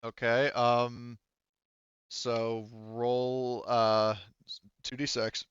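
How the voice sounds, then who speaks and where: A man running a tabletop game as game master, thinking aloud in a halting, casually profane way as he talks through rules and narration. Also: mid-range voice, flat delivery, a bit bright, clean tone, no room sound, muffled recording.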